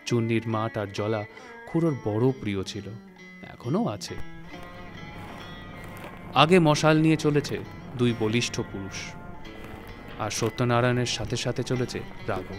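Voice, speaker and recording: Measured male storyteller over soft music. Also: medium pitch. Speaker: story narrator. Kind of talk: literary scripted narration. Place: recording studio.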